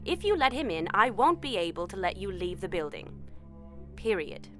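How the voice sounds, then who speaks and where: A woman's voice: medium pitch, very animated, moderate pace, fairly bright tone, faint music behind. An actress playing a controlling, cryptic woman agent in an audio drama, composed, condescending and ominous. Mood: firm. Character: Ramsey, cool, controlling, cryptic woman agent of a research center.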